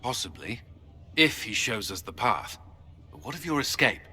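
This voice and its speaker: Grim game war commander, really forceful bright voice, urgent and commanding. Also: male voice, lowish voice, very animated, moderate pace, husky, full character voice. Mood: resolute. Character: male military commander, resolute, grim, urgent. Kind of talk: fantasy video game acting.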